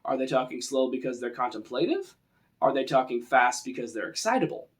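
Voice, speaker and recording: A man's voice: medium pitch, very animated, fairly quick. A man presenting an informal tutorial on role-playing to camera, talking in a casual, explanatory way.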